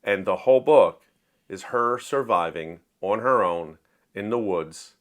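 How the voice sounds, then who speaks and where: A man's voice: fairly low pitch, lively intonation, a little slow, fairly bright tone. A man talking casually about books he read this month, in an enthusiastic, explanatory, conversational way. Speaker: book reviewer and writer. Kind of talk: casual monthly reading wrap-up.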